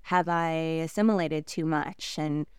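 Actress guest, native Korean speaker, very smooth voice, close and dry, halting and laughing softly. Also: female voice, sits low, no room sound. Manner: halting, frequent fillers and soft laughs. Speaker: actress interviewee. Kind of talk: reflective interview talk.

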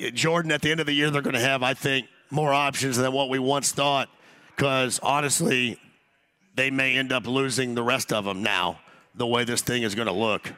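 A man hosting a sports talk radio show, hoarse after losing his voice, talking loosely with many restarts. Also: mid-range voice, steady tone, normal speed, sharp tone. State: hoarse, lost voice.

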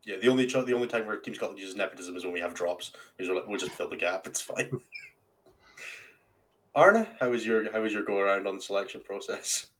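A man with a slightly low voice hosts a casual round table. His delivery is wry and self-deprecating, teasing the people he talks to. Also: a little fast, a bit bright, rough recording. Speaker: podcast host and team captain.

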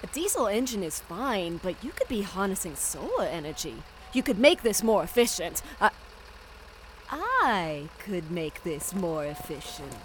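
Tough, lively streetwise woman. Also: medium pitch, a little slow, a little hiss or hum. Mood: impressed, keen. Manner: deadpan, blunt, cocky. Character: tough, blunt, streetwise woman mechanic with dry wit. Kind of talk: audio drama acting.

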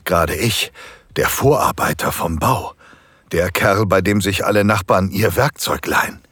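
Man acting a low, husky submissive husband. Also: no room sound, heavily acted. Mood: confiding. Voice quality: husky, breathy. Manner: slow, hushed, halting with moans. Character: submissive married man, construction foreman, eager, aroused, pleading. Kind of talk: intimate first-person erotic audio drama narration.

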